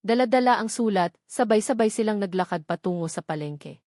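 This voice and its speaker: A woman's voice: medium pitch, lively intonation, a little fast, mellow, no room sound, clean studio sound. A woman narrating a scripted dramatic love story.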